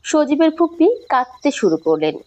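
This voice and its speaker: High, animated woman narrating horror. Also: moderate pace, dull audio. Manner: dramatic, suspenseful. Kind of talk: scripted horror story narration.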